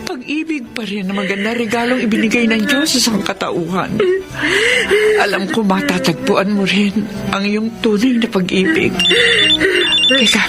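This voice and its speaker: An actress playing a gentle middle-aged mother in a Filipino radio drama, earnest and consoling. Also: medium pitch, moderate pace, close to an everyday voice. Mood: comforting. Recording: loud music behind the voice.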